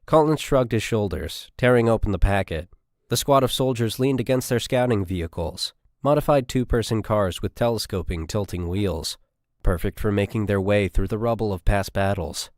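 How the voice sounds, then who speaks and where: A man's voice: on the low side, normal speed, darkish tone, no echo, studio quality. A man reading a scripted military science fiction story as an audiobook narrator.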